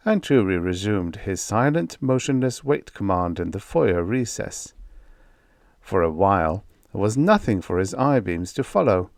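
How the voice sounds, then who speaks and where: A man reading a vintage science fiction story aloud as an audiobook narrator, in a measured storytelling delivery. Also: on the low side, vocal fry, a little mellow, no room sound, studio quality.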